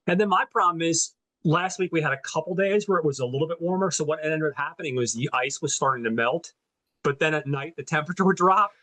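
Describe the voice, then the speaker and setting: A man's voice: a little high, fast talker, bright, forceful tone, poor audio. A man co-hosting a movie podcast, chatting in an upbeat, enthusiastic way and often repeating words as he starts. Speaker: podcast co-host. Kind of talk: casual chat.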